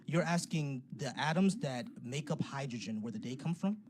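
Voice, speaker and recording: A man's voice: medium pitch, lively intonation, normal speed, a crowd in the background. A man hosting a casual call-in debate stream, talkative and loose, laughing easily. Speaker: livestream host. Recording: noisy livestream audio.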